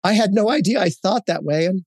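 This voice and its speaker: A man's voice: high voice, animated, fairly quick, darkish tone, breathy edge, no room sound, studio quality. A man in his fifties who grew up in Hawaii, giving candid, storytelling interview answers with frequent fillers and restarts. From Hawaii, United States. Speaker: guest, corporate executive.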